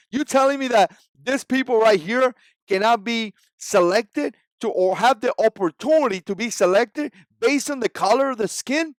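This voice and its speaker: High-voiced man with a Cuban accent. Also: dry recording. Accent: Cuban Spanish. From Cuba. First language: Spanish. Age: 40s. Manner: emphatic, repetitive and indignant. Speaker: vlogger. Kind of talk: opinion commentary vlog.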